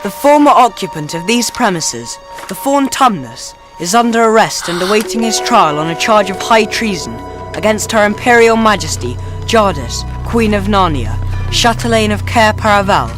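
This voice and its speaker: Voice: mid-range voice, expressive, normal speed, over music, natural voice. An actor in a radio drama playing a decisive, fair-minded schoolboy eldest brother with a British accent. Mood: grave.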